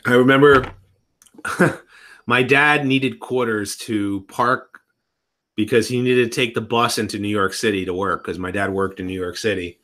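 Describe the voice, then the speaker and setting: A man's voice: fairly low pitch, measured pace, pushed and bright. Rambling man in his thirties. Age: 30s. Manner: rambling, restarts sentences. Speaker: live streamer. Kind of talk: casual live-stream chat.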